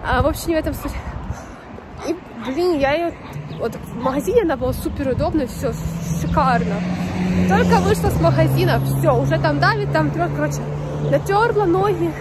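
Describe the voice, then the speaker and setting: Young female vlogger, high-pitched, informal and chatty, a bit sniffly with a cold, recorded on a noisy street. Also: expressive, moderate pace. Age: young adult. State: slightly unwell with a runny nose. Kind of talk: casual walking vlog chat. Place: outdoors on a city street beside traffic. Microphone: phone at arm's length. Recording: clear outdoor street and traffic noise.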